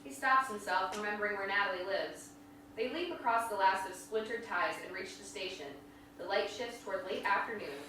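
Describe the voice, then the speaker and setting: A woman narrates stage directions at a play reading. Her voice is mid-pitched and bright, with a forceful edge. It carries through a heavily reverberant room. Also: fairly quick. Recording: very echoey hall, distant mic, faint noise.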